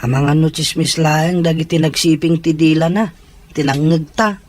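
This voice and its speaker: A man's voice: a little high, steady tone, moderate pace, a little mellow, close to an everyday voice. A man playing a protective, skeptical schoolboy big brother in an audio drama, earnest and reassuring.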